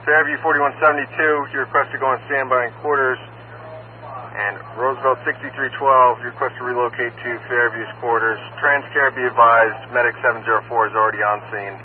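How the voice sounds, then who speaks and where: Over a very narrow scanner radio channel with some noise, a man gives formal fire dispatch announcements. His voice sits at a middle pitch and he speaks in set, procedural phrases. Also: fairly even intonation, brisk. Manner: procedural, repeats addresses and numbers in set phrases. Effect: two-way radio transmission. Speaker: county emergency fire dispatcher.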